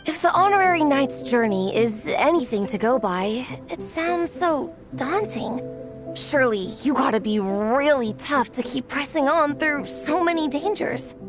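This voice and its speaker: A wide-eyed young trainee from an anime game. Her voice is high and slow-paced, with an earnest, hesitant way of talking, over music. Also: lively intonation. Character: earnest, starstruck young woman training, unsure of herself. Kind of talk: anime video game voice acting.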